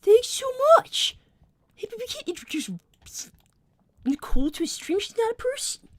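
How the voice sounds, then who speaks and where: Very high-pitched whiny young fox boy. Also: very animated, moderate pace, dry recording. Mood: dismissive. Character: young boy cartoon fox, bratty, anxious, defensive. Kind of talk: improvised in-character livestream roleplay. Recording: clear background noise.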